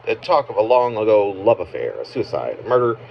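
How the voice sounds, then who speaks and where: A slightly dull home recording with faint background noise carries a man's very low voice. He reads a literary narrative of his own writing, breaking the sentences into halting pieces with pauses in unexpected places. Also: very animated, vocal fry. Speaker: poet, author reading own work. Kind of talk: literary reading of a narrative poem. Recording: muffled, noisy amateur home recording.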